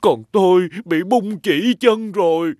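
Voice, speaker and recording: A timid, pleading toy penguin. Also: male voice, high voice, measured pace, mellow, no echo. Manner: pleading, fearful. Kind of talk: cartoon dubbing.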